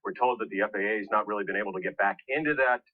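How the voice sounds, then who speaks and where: A male American news reporter delivers a live breaking report. His voice is a little husky, and the broadcast audio sounds dull and band-limited. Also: lowish voice, rapid-fire.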